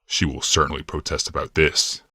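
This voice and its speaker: Man playing a very deep, muttering cold schemer. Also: little pitch movement, pushed and bright, no room sound, studio quality, heavily acted. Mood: dry, smug. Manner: muttering to himself, placid, measured. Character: cold, calculating male villain, serenely scheming. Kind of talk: audiobook character voice.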